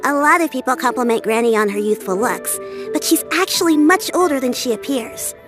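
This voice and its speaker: A considerate young girl in a game. The voice is extremely high and youthful, earnest and well-mannered. Faint music plays behind it. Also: animated, fairly quick. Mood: cheerful. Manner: polite, earnest. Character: polite, caring young girl minding her granny. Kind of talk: video game voice acting.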